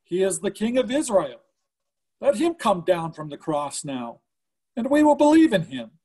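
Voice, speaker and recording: A man's voice: high-pitched, big pitch swings, moderate pace, dark tone, slightly rough. A man giving a dramatic reading of scripture as one of several readers.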